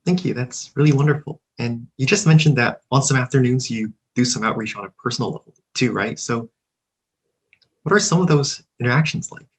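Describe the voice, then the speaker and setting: A man's voice: medium pitch, brisk, poor audio. A man interviewing a guest, thanking him politely and asking a follow-up question. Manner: polite, appreciative. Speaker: student interviewer.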